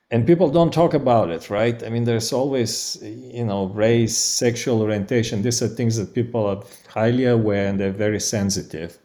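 A man with a Bulgarian accent answers interview questions as a researcher. His voice is dark and mellow. He explains in an academic way, citing studies and pausing on fillers. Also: mid-range voice. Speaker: guest researcher.

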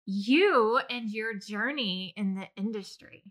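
A woman's voice: medium pitch, slow pace, a bit bright. A woman hosting a podcast, asking questions in a casual, conversational way. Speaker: podcast host, interviewer.

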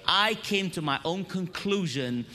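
A man's voice: high-pitched, lively intonation, unhurried, bright, forceful tone. A man from Egypt, a native Arabic speaker with an Egyptian accent, giving an earnest, storytelling seminar talk into a microphone in a hall. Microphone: handheld or stand microphone.

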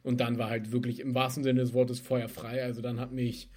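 A young man from Germany, a spirits entrepreneur, chatting casually in an interview and telling stories in a lively way with laughs. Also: mid-range voice, a little fast, husky.

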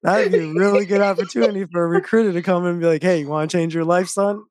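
A man's voice: high voice, steady tone, quick pace, darkish tone, no room sound, clean studio sound. A man hosting a casual podcast chat, easygoing and agreeable with plenty of slang. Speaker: podcast host.

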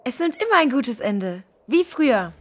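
A woman's voice: a little high, very animated, normal speed, husky. A woman playing an earnest, sincere young girl who has lost her mother, in a children's audio drama. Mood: earnest, hopeful. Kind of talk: German children's radio play acting.

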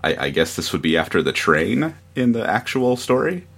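A man on a podcast panel chatting casually about a review, halting, with exasperated amusement. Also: lowish voice, sing-song, vocal fry, a bit bright, breathy edge.

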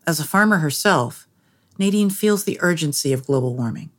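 A woman's voice: low voice, lively intonation, moderate pace. A woman narrating a scripted documentary piece as its interviewer.

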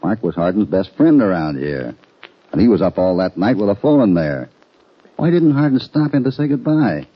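A man's voice: on the low side, sing-song, normal speed, husky. An actor playing a dogged American cowboy lawman in an old radio western, with a folksy, casual way of asking questions. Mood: dogged, assured. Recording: dull, muffled vintage radio recording.

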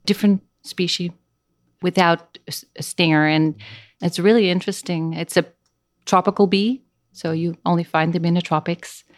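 A woman's voice: fairly low pitch, steady tone, moderate pace, no room sound, studio quality. Dutch-accented middle-aged woman, hesitant. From Netherlands. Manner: hesitant, searching for words with many fillers. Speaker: guest. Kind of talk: casual interview chat.

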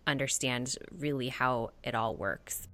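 A woman's voice: very deep voice, measured pace, fairly bright tone, soft background music. A woman hosting a podcast, chatty and enthusiastic, interviewing a guest and closing the show. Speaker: podcast host. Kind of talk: casual interview and show outro.

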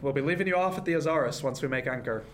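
A man's voice: on the high side, fast talker, lightly acted. A man playing a guarded, ambitious 18th-century first mate in a radio drama, with a formal, restrained manner. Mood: stern, dismissive. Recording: clear background noise, ship ambience.

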